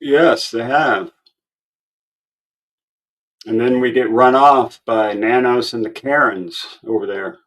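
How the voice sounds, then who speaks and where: A man's voice: medium pitch, steady tone, slow pace, long pauses, fairly bright tone. A man in his sixties hosting a livestream, chatting casually with short, laid-back replies and some casual swearing. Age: 60s. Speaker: livestream host. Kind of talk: casual chat.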